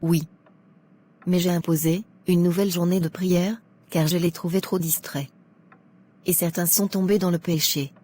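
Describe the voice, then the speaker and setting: Woman acting a blunt, low-voiced judgmental churchwoman. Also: fairly even intonation, normal speed, warm tone, lightly acted. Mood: matter-of-fact. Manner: outspoken, scolding.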